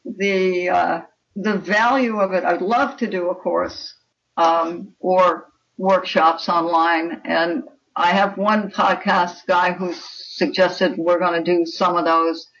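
An older woman talks in an interview with an emphatic, opinionated manner. Her voice is creaky, and it comes through a little muffled and away from the microphone. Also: on the low side, steady tone, takes it slowly, fairly bright tone, recorded from a distance. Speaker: guest writer and anthology editor. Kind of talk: interview conversation.

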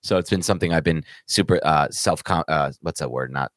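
Podcast co-host, male, nasal, very low and lively, dry close sound. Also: dry recording. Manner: quick, animated, joking. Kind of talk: casual chat.

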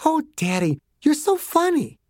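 A narrator voicing a little girl who is calm, sweet and unsettling. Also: sits high, a little slow, no echo, clean studio sound, pitched above the performer's own voice. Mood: sweetly eerie.

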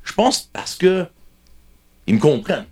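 A man's voice: fairly high pitch, big pitch swings, measured pace, darkish tone, slightly rough. A man with a Franco-Ontarian French accent chatting casually and telling stories in slangy French mixed with English. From Ontario, Canada. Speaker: touring band member, guest. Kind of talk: casual bantering chat, storytelling.

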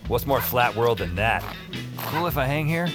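A man's voice: mid-range voice, lively intonation, normal speed, music in the background, natural voice. A man acting a rattled, sardonic everyman who narrates his own weird adventures in an audio drama. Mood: upbeat, wry. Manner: sardonic, self-talking, exclamatory.

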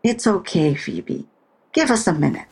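Husky, slow dying older mother. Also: on the low side, lively intonation, darkish tone, full character voice. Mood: weary, resigned. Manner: blunt, deliberate. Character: dying, estranged older mother, blunt and stubborn. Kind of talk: audio drama acting.

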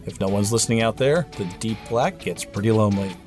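A man's voice: fairly low pitch, fast, over loud music. A man with a nasal voice hosting a podcast, reading the credits and trading self-mocking jokes with his co-hosts. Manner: joking, self-mocking banter. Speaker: podcast host. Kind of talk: casual chat, scripted outro.

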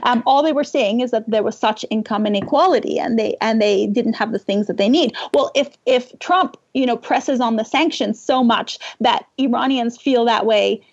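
A woman's voice: on the high side, normal speed, hardly any pauses, husky, highs cut off. A woman from Iran speaking as an interview guest, thinking aloud with hesitant, reflective phrasing. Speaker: podcast guest, writer.

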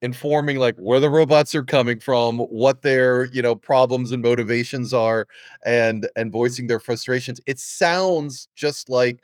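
A middle-aged man with a medium-pitched voice and a dry recording. He hosts a conversational interview, setting up questions in a measured, appreciative manner. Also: fairly even intonation, no room sound. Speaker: podcast host, interviewer.

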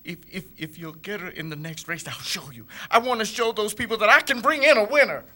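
An actor playing an earnest young jockey who stammers, in an old-time radio play. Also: male voice, sits high, sharp tone. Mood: eager. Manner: halting, stammering. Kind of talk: 1940s radio acting.

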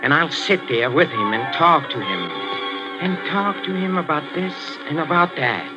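An actor playing a soft-spoken, scheming maestro plotting a murder, in 1940s radio acting. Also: male voice, on the high side, expressive, close to an everyday voice. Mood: gloating. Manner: soft, insinuating, slowly building to gloating menace. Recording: muffled 1940s radio recording with music.

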